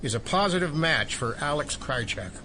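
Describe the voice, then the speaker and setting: A man's voice: fairly high pitch, lively intonation, normal speed, background noise. A man playing a cheeky, sardonic conspiracy hacker in an audio drama. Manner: sardonic, flippant.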